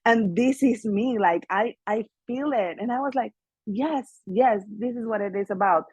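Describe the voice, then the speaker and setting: A woman from Mexico with a Mexican Spanish accent, speaking English as a second language, chatting in an interview in an animated, earnest way with frequent laughter. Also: a little high, normal speed, slightly rough, no echo, lo-fi sound. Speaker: guest singer and actress. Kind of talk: casual interview chat.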